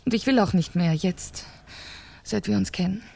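An actress playing a cold, impatient wife who plots murder, insistent and clipped, in a 1970s crime radio play. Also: female voice, mid-range voice, moderate pace, creaky, a little mellow, dry recording. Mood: strained, desperate.

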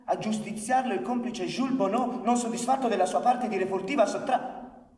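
A man's voice: high-pitched, normal speed, darkish tone, natural voice. An actor playing an earnest, agitated anarchist newspaperman in a radio drama, with an emphatic, argumentative delivery. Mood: urgent, dismayed. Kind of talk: radio theatre acting. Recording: echoey reverberant room, distant mic.

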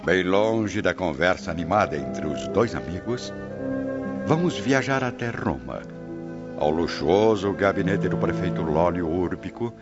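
A man's voice: low-pitched, expressive, a little slow. A man narrating a radio drama from a script in a solemn storytelling manner. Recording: muffled sound with prominent music.

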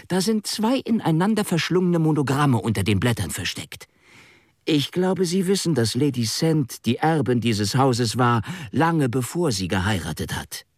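An actor in a German radio drama playing a strong young English gentleman, impulsive and accusing, with an abrupt, insistent delivery. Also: medium pitch, sing-song, normal speed, no room sound, clean studio sound. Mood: agitated, accusing.

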